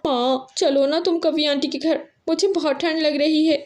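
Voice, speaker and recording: A woman's voice: high voice, fairly even intonation, normal speed, a little mellow, pitched above the performer's own voice, natural voice. A woman voicing an earnest, kind young girl bird who coaxes and pleads in a cartoon. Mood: pleading. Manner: earnest, coaxing. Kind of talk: Hindi cartoon character acting.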